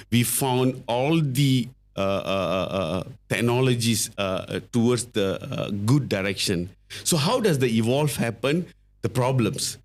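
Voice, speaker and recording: Man, Malaysian English accent, middle-aged, podcast guest, halting speech, lots of fillers and restarts, mid-pitched voice. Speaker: guest, company founder. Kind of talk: casual podcast storytelling.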